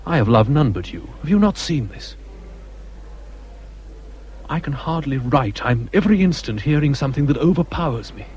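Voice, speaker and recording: An actor playing an ardent, anguished naval captain in love, speaking earnestly and formally in a period literary drama. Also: male voice, on the high side, lively intonation, moderate pace, long pauses, darkish tone, lo-fi sound, a little hiss or hum, lightly acted. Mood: impassioned longing.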